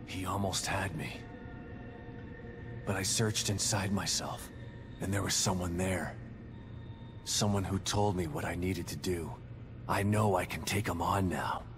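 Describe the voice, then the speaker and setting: An actor playing a grim, determined middle-aged detective in a video game, terse and hard-boiled, haunted by loss. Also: male voice, deep voice, unhurried, pauses often. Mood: resolute. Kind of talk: dramatic video game acting. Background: music.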